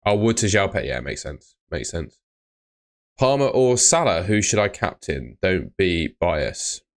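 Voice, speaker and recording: Young male streamer, low voice, relaxed and chatty, reading viewer comments. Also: a little slow. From Bristol, England. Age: young adult. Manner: relaxed, chatty, answers chat questions. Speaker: fantasy football streamer. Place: small room indoors.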